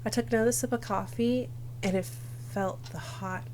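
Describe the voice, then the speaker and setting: A woman's voice: medium pitch, fairly even intonation, moderate pace, a little mellow, slight background noise. A young woman streamer reading visual novel text aloud dramatically, with casual asides. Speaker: game streamer.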